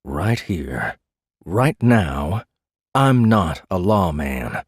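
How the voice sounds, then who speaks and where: An actor playing a steady, probing renegade space marshal, protective and dryly teasing, speaking in a measured, coaxing way in audiobook drama dialogue. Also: male voice, on the low side, no room sound, studio quality.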